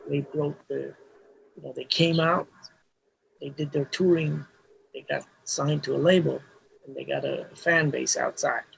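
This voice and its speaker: A man's voice: a little high, fairly even intonation, a little slow, creaky, long pauses, slightly rough, low-quality recording. A man, a Hebrew speaker, talking casually as an interview guest, rambling with restarts and frequent "you know" fillers. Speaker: mastering engineer guest.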